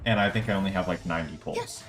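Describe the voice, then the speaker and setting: Casting: casual male game streamer, lowish voice, off-the-cuff asides, faint game music behind him. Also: fast. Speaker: gaming streamer.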